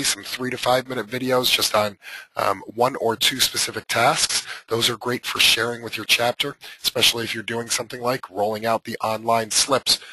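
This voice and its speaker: A man hoarse from laryngitis, with a scratchy voice, presenting a live training webinar in a conversational, hesitant way with many filler sounds. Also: mid-range voice, bright, forceful tone, no echo.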